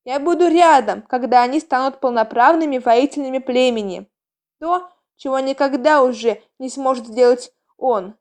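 A woman's voice: medium pitch, normal speed, creaky, few pauses, close to an everyday voice. A woman voicing a tender, grateful female cat in an audiobook story, with a gushing, emotional manner. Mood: grateful, elated. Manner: gushing, soft-hearted.

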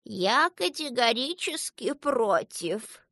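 A woman's voice: medium pitch, sing-song, slow pace, fairly bright tone, dry recording, clean studio sound, exaggerated voice. A woman voicing a stern, worried grandmother who scolds in an exasperated, emphatic manner. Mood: stern, alarmed.

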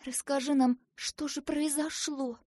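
A woman voicing a motherly, excitable storybook sweet bun with an emphatic, fussing manner. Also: high voice, a little slow, dry recording, clean studio sound, pitched above the performer's own voice.

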